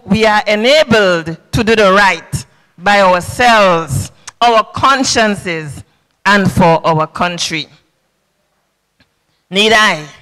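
Woman in her fifties, lay sermon, slow and bright, handheld mic in a reverberant hall. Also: mid-range voice. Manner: emphatic, preacherly, with sing-song cadences and repetition. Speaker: government official preaching a sermon. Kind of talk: sermon at a public service church service. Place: large indoor hall at a podium. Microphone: handheld microphone. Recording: long reverb in a large hall.

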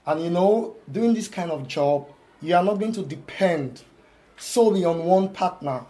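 A man's voice: high voice, lively intonation, moderate pace, warm tone. A man giving an interview answer as orphanage staff. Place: small indoor room.

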